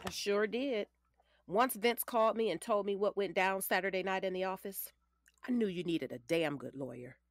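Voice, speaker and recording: A woman's voice: mid-range voice, normal speed, no echo, close to an everyday voice. A woman playing a confident, matter-of-fact lawyer in her late forties in an audio drama, firm, precise and commanding. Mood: assured.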